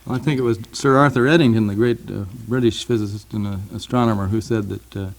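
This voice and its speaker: A man speaking as a guest in a thoughtful radio discussion, hesitant and halting as he works through ideas. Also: on the low side, steady tone, creaky. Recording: 1950s archival radio recording.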